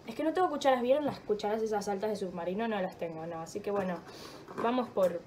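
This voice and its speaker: A woman's voice: mid-range voice, fast, a little mellow, slight background noise. A young woman with a Rioplatense Spanish accent rambling casually and joking at herself while vlogging from her home kitchen, with a sore throat and a stuffy nose. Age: young adult. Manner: chatty, rambling and self-mocking, swears freely. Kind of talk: casual vlog chat.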